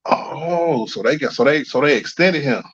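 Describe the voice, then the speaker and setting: A man's voice: medium pitch, animated, moderate pace, a bit bright, breathy edge, no room sound. An American man from Missouri talks sports casually as a stream guest. His audio is dry, with a dull, lo-fi edge. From St. Louis, Missouri, USA.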